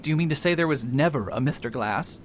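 A man's voice: lively intonation, quick pace, lightly acted. Man as a pompous, lightish-voiced learned doctor. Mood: incredulous. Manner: pompous, incredulous. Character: pompous, baffled learned doctor, coldly overconfident sleuth. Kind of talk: radio mystery acting. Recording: faint rumbling background noise.

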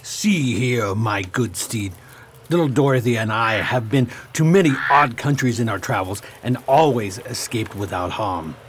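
A man's voice: fairly low pitch, expressive, unhurried, noisy background. A man playing a genteel, brave old stage magician in a radio play, with a formal, avuncular delivery. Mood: reassuring.

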